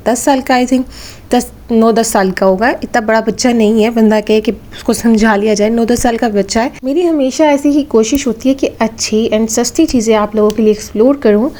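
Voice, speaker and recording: A Pakistani woman speaks in a fairly high voice that is slightly off from a sore throat. She chats casually as a vlogger, slipping English phrases into her talk. She often repeats a phrase to drive it home. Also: normal speed, darkish tone. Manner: chatty, repeats phrases for emphasis. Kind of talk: casual vlog commentary with English phrases mixed in.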